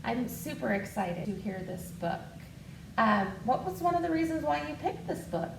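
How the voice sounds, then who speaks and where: High, lively woman in an echoey room. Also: normal speed. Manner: enthusiastic, encouraging. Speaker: host of a school library storytime. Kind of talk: casual introduction and chat. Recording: echoey room, distant mic, clear noise.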